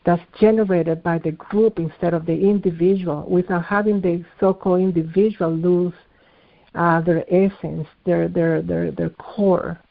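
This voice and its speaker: A man from the Dominican Republic, an artist being interviewed, speaking in a measured, searching way and often restarting his words. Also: high voice, fairly even intonation, creaky. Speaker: artist guest. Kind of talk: reflective interview talk. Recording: tinny phone-line audio.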